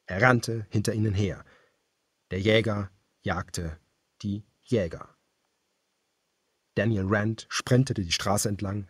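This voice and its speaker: Man, audiobook narration, lowish pitch, husky, grave, measured, dramatic, dry studio-like sound. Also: dry recording. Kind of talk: scripted dramatic narration of a thriller.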